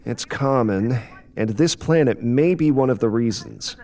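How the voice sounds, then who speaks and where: A methodical technical analyst played by a man in a sci-fi audio drama. He has a medium-pitched voice and explains things carefully. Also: a little slow, mellow, close to an everyday voice. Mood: analytical. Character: methodical male technical analyst on space crew.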